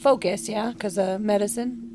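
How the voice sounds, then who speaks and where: A woman's voice: mid-range voice, fairly even intonation, moderate pace, a little mellow, slight background noise. A woman playing a tabletop game, chatting casually with playful banter.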